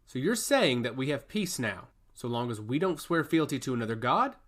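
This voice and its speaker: A man playing a calm, measured king and father in a fantasy audiobook. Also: mid-range voice. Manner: measured, unruffled.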